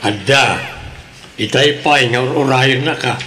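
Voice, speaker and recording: A man's voice: medium pitch, slow, pushed and bright. A man with a Filipino accent playing an elderly father of a grown daughter in an Ilocano radio drama. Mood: calm. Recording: echoey room, faint background noise.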